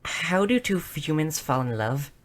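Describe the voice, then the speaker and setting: Casting: a woman as a bubbly, bookish goblin girl for audio roleplay, low-pitched, inquisitive, with a slight nervous stammer. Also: animated, normal speed. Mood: eagerly puzzled. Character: shy, bubbly, bookish young goblin girl, eager and curious. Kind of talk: scripted audio roleplay addressed to the listener.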